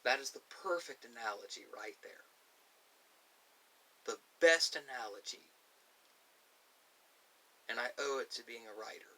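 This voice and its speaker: A man speaking casually and unscripted to viewers, giving his personal opinions in emphatic, halting, short phrases. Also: medium pitch, expressive, sharp tone. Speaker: video creator giving personal thoughts. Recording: distant mic with faint hiss.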